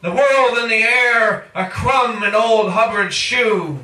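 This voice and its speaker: A man performs spoken-word poetry in a high, piercingly bright voice. He takes his time, stretching and chanting phrases dramatically. The recording is distant, made in an echoey room. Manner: dramatic chanting delivery with drawn-out phrases and cries. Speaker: poet reading own work. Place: indoor live reading at a cafe.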